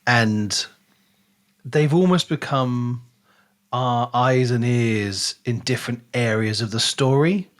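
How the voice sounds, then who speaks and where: Man with mid-pitched voice, chatting about books. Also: slow. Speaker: podcast co-host. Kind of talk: casual book discussion.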